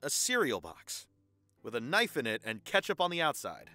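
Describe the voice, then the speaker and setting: A woman's voice: a bass voice, wildly swooping pitch, moderate pace, pushed and bright, soft background music, exaggerated voice. A woman playing a bragging, snarky gamer friend in an audio drama, teasing with dry playfulness.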